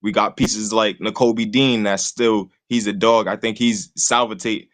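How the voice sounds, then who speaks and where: Man, 20s, fairly low voice, casual rambling sports talk, lots of filler phrases. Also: fairly even intonation, unhurried. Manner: rambling, says "you know what I'm saying" constantly. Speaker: call-in guest host.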